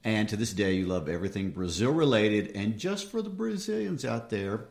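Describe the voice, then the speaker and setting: Guy hosting a book podcast, really deep voice, chatty and jokey. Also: big pitch swings, normal speed, vocal fry, a little mellow. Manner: conversational, jokey, self-correcting. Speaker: podcast host. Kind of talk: casual book discussion.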